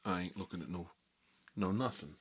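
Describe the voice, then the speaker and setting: A man playing a cagey, cocky mob boss in an ASMR role-play, talking unhurried in needling tough-guy street talk. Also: sits low, slight background noise, lightly acted. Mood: guarded, defiant.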